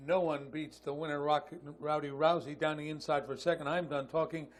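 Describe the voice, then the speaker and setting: A man calls a harness race live in a fairly high voice. His intonation stays level as he reels off positions in clipped phrases. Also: little pitch movement, a little fast. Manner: clipped running call of positions. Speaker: harness racing announcer.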